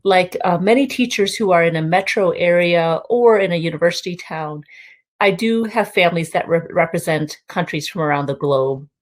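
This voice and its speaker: A middle-aged woman, a music teacher on a panel, speaking in a measured, hesitant way with pauses and fillers. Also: lowish voice. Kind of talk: panel discussion.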